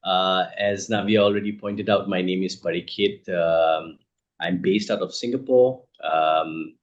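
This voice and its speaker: A man from northeast India with an Indian accent, giving reflective, thoughtful interview answers as an author, measured and pausing mid-sentence. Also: deep voice, fairly even intonation. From Assam, northeast India. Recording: echoey room, dull muffled sound.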